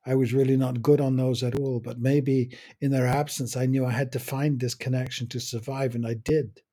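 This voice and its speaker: An older man muses over his memories in a measured, unhurried monologue. His voice is mellow and a little husky, and he lets short phrases fall apart with long pauses between them. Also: mid-range voice, level tone. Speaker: podcast host. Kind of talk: reflective personal monologue.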